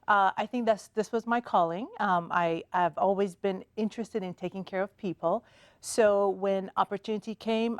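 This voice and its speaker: A middle-aged woman from Iran, a podiatrist guest on a TV studio talk show, speaking in a measured way with many "uh" and "um" pauses. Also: mid-range voice, no room sound. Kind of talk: TV studio interview. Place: TV studio set.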